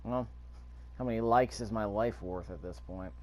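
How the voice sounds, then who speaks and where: A man's voice: fairly low pitch, moderate pace, darkish tone, highs cut off. A man giving casual, offhand let's play commentary, muttering to himself as he plays. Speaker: video game player recording commentary. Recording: game sound under the voice. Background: video game ambience.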